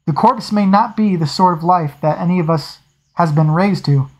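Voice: medium pitch, moderate pace, close to an everyday voice. A reader voicing a stern naval captain. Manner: formal, clipped, angry when challenged.

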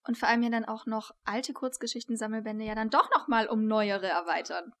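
A woman's voice: on the high side, a little fast, fairly bright tone, dry recording. A woman in her thirties co-hosting a casual interview, speaking into a shared desk microphone in a small room. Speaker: interview co-host. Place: small attic room, indoors.

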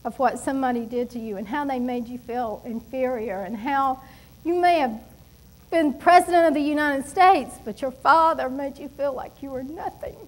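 A middle-aged woman delivers a sermon from a church pulpit. Her voice sits high, and she preaches with emphatic, animated energy and quick asides to the congregation. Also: poor audio. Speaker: pastor. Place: church stage at a wooden pulpit.

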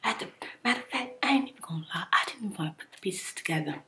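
A woman's voice: medium pitch, animated, rapid-fire, pushed and bright. A woman telling a personal story in a heated whisper, emphatic and exasperated, swearing and repeating herself in casual slang.

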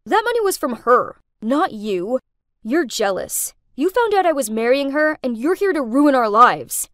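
A woman voicing a young man, a hurt boyfriend who talks hesitantly, then gruffly. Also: sits high, big pitch swings, measured pace, a bit bright, no room sound, studio quality, heavily acted. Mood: gruff accusing. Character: man, young adult boyfriend, wounded and curt.

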